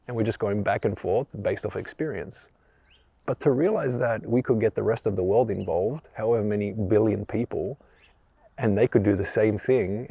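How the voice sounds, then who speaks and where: A young man from Australia, hosting a reflective solo podcast and explaining ideas in a calm, gentle teaching tone, often checking in with "right?". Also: on the low side, vocal fry, breathy edge, no room sound. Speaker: podcast host.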